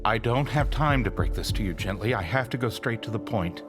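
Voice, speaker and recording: A man's voice: fairly low pitch, lively intonation, a little fast, close to an everyday voice. A man playing a grave, controlling vampire caretaker in a dramatic game cutscene, explaining things with solemn insistence. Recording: faint music behind the voice.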